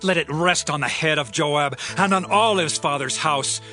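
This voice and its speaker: A grieving king in an audio drama, with a high, bright, tense voice. He laments slowly and solemnly over a light music bed. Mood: grave. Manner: lamenting, sometimes half-sung. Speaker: actor voicing King David. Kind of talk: dramatized scripture reading.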